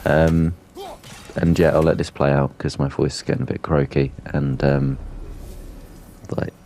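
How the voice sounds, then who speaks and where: A man's voice: rumbling low, flat delivery, measured pace, dark tone, slight background noise. A man giving casual commentary over a game playthrough in a hesitant, rambling way. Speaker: gameplay streamer.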